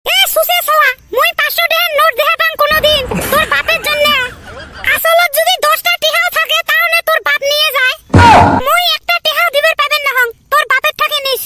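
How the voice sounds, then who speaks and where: Nagging village mom, played by a man, extremely high squeaky pitch, northern Bengal accent, very noisy audio. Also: fairly even intonation, fairly quick, a loud crowd. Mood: scolding. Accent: northern Bengal (Cooch Behar region). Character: scolding, soft-hearted village mother of grown son. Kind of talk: comedy cartoon voice acting in rural dialect.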